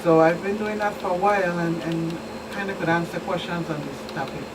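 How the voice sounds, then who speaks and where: A woman's voice: lowish voice, steady tone, fairly quick. A middle-aged woman with a Virgin Islands Caribbean accent, explaining things as a police expert guest in a talk show interview. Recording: distant mic, clearly noisy background.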